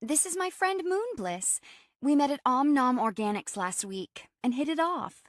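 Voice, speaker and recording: A woman voicing a bubbly, sociable young woman with a bright, upbeat manner in an audiobook. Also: high voice, big pitch swings, unhurried, no room sound, studio quality, pitched above the performer's own voice. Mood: cheerful.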